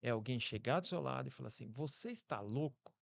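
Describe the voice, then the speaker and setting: A Brazilian man with a gravelly, creaky edge and a Brazilian Portuguese accent speaks close and dry. He sounds like a podcast co-host, casually reflecting and prompting his guest. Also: medium pitch, expressive, quick pace, no echo. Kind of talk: casual reflective interview talk.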